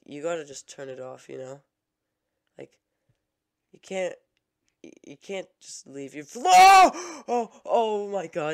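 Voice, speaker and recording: A young adult man doing casual reaction commentary in an excitable, slangy way, full of exclamations. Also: high voice, dry recording, clean studio sound. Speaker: reaction video host.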